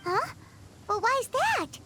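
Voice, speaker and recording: A woman voicing a tiny, chatty fairy girl companion in a video game, with a squeaky childlike voice and an excitable manner. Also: slow, a little hiss or hum, full character voice. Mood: curious. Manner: excitable, refers to herself in third person. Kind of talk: animated video game voice acting. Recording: music behind the voice.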